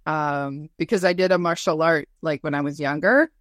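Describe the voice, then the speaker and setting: A woman's voice: sits low, fairly even intonation, normal speed, no room sound. A woman hosting a casual interview in an effusive, affirming, conversational way. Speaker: interviewer, host.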